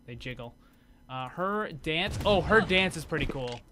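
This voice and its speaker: A man's voice: a little high, expressive, a little slow, noisy background. A man giving casual, off-the-cuff commentary as host of a gaming showcase video.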